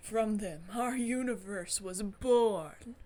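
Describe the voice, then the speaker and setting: A woman's voice: mid-range voice, slow, dark tone. A woman hosting a game stream, reading story text aloud dramatically with giggly, enthusiastic asides. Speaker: gaming channel host streaming a visual novel.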